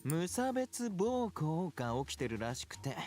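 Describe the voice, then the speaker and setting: An actor playing an excitable, deferential young male gang underling in a Japanese visual novel. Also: fairly high pitch, a little fast. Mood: urgent explaining.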